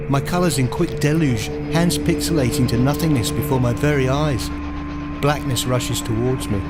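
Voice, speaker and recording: Man narrating over prominent music. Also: mid-range voice. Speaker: narrator of a radio play. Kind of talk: dramatic scripted first-person narration. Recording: music behind the voice.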